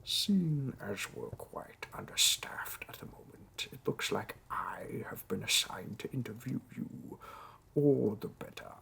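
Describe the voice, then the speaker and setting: A man's voice: medium pitch, animated, slow, creaky, sharp tone, whispered, full character voice. A man voicing a wry, courteous demon bureaucrat conducting interviews in hell, speaking formally and dryly in a scripted roleplay audio. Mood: dryly pleased. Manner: dry, formal, politely officious. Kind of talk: scripted roleplay audio monologue addressed to the listener.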